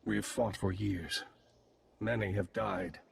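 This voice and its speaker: A man's voice: on the low side, steady tone, a little slow, gravelly, breathy edge, full character voice. A man playing a grim, war-weary alien squad leader in a video game, speaking tersely and solemnly, with long reverb and music. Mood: grave.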